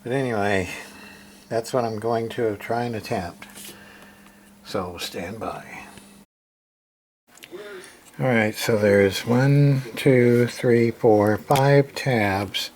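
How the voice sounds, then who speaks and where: Slow-talking man narrating a repair, noisy recording. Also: medium pitch, a little mellow. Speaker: hobbyist radio repairer demonstrating a repair. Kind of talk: casual hands-on repair narration. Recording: clear background noise.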